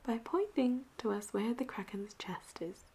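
A woman playing a flirty, possessive pirate captain in a roleplay audio drama, with a breathy voice that often drops into whispers and teasing endearments. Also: on the high side, expressive, unhurried, mellow, heavily acted. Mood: flirty, protective.

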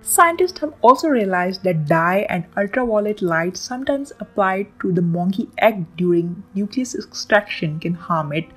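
A woman hosting a science channel, reading a scripted explainer in a lively, emphatic way. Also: medium pitch, moderate pace, few pauses, husky, poor audio. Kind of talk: scripted educational narration. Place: voice-over with soft background music.

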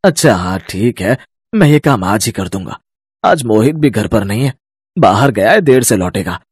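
A man's voice: lowish voice, lively intonation, normal speed, husky, dry recording, clean studio sound. A man voicing an anxious middle-aged father-in-law in a cartoon, earnest and pleading.

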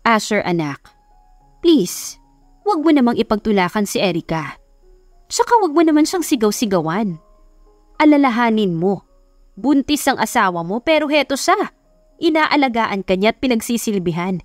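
A woman's voice: a little high, sing-song, moderate pace, long pauses, no echo, soft background music. A woman voicing an earnest middle-aged mother, firm and emotional as she pleads in an audiobook romance. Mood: pleading, reproachful. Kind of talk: dramatized audiobook romance reading.

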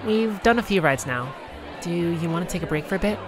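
A performer voicing a cheerful, affectionate girlfriend on a theme park date, speaking gently and unhurriedly in a one-sided ASMR roleplay. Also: mid-range voice, expressive, music in the background, a crowd in the background, close to an everyday voice. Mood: relaxed. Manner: gentle, unhurried, softly conversational.

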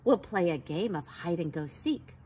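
A woman in her forties reading a picture book aloud to young children outdoors, slow and sing-song, sounding out letters and gasping for effect. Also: lowish voice, lively intonation, mellow, a little hiss or hum. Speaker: storytime reader for children. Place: backyard patio outdoors by a wooden fence.

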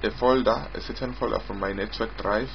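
A man giving an informal screen-recorded software demo as its developer, in a halting way, often repeating and rephrasing. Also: lowish voice, steady tone, gravelly, a little hiss or hum. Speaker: software developer demonstrating own app. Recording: dull lo-fi mic with prominent music.

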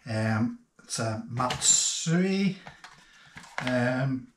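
A man, hosting a casual boating explainer to camera in a hesitant, unscripted way with frequent pauses. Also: medium pitch, animated, noisy background. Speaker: boating video host. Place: small indoor workshop room with bare walls. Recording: echoey bare room.

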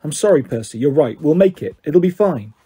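A man's voice: fairly high pitch, expressive, brisk, dark tone, breathy edge. A man voicing an earnest young girl in a storybook, doubtful yet supportive. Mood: apologetic.

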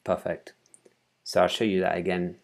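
Low, gravelly man, flat delivery. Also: a little hiss or hum. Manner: halting, thinking aloud while working. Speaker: tutorial presenter. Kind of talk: informal screencast tutorial, explaining step by step. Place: small room at home, indoors.

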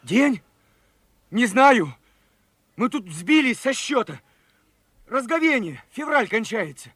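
An actor playing a young Red Army soldier in a Soviet radio play, earnest, bewildered and halting. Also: male voice, extremely high pitch, sing-song, husky, no echo, heavily acted. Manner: halting, wondering, dramatic. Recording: vintage radio play over loud music.

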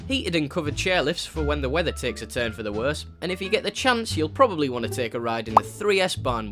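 Young northeast english guy doing a cheeky travel voice-over, fast and bouncy, music playing under him. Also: a little high, fairly bright tone. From northeast England. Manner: cheeky, jokey asides. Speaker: travel vlogger. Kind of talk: scripted voice-over narration. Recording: voice-over with clear music bed.

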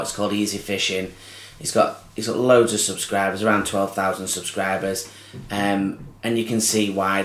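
A man in his thirties speaks close to a camera in a small curtained room with a noticeable echo. His voice sits low and stays fairly flat. He hesitates often, restarting phrases and filling gaps with ums. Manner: halting, many restarts and ums. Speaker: fishing channel host. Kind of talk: heartfelt tribute to camera. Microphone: camera close to face.

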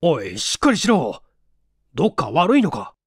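A man's voice: a little high, wildly swooping pitch, normal speed, breathy edge, dry recording, studio quality, exaggerated voice. Man acting a brusque, scolding husband. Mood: brusque, alarmed. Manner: brusque, blunt. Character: gruff, scolding husband hiding his unease.

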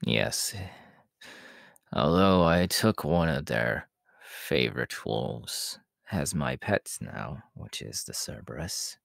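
A man playing a proud, menacing, world-weary demon king in an ASMR roleplay, talking in a deliberate, haughty way that often sinks into breathy whispers. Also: rumbling low, animated, fairly bright tone, no echo, clean studio sound, exaggerated voice. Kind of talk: ASMR audio roleplay acting.

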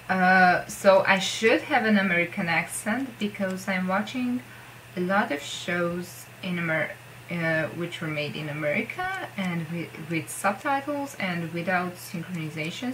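A woman's voice: mid-range voice, a bit bright, a little hiss or hum, rough recording. A young Hungarian woman with a Hungarian accent, speaking English as a second language and chatting casually to camera in her bedroom, rambling with many filler words. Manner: rambling, lots of filler likes, self-deprecating. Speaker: vlogger. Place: bedroom with posters.

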